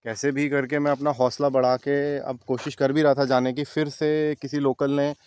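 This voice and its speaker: A man's voice: medium pitch, level tone, moderate pace, darkish tone, clear, resonant tone, no echo. A man from India narrating a travel vlog outdoors on a mountain trail, talking straight to his viewers in a chatty way while filming on a handheld camera. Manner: chatty, talks directly to viewers. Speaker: travel vlogger.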